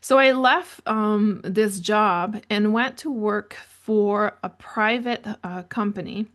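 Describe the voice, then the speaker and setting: A middle-aged French Canadian woman speaks in an ordinary, mid-range voice. She hosts her own podcast and tells her life story candidly. She pauses often on ums and corrects herself as she goes. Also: mid-range voice, a bit bright. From New Brunswick, Canada. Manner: reflective, candid, with frequent ums and self-corrections. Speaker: podcast host. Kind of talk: personal storytelling monologue.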